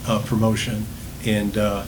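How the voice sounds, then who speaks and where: A man on a council explaining something in a measured, halting way. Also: fairly low pitch, flat delivery. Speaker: council member. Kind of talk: meeting explanation. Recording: meeting recording with clear background noise.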